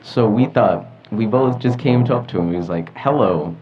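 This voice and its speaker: A man's voice: lowish voice, normal speed. A teenage boy from New York co-hosting a podcast in casual, slangy chat. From New York, United States. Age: teens. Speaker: teen podcast co-host. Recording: muffled audio, clear background noise.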